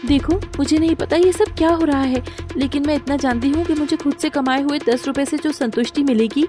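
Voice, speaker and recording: A woman's voice: high voice, a little fast, mellow, faint music behind, close to an everyday voice. A woman voicing a poor, honest, kind-hearted young woman who speaks earnestly and emotionally.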